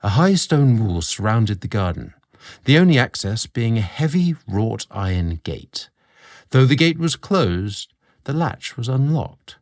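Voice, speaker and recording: Expressive low-voiced male story narrator. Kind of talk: scripted romantic story narration.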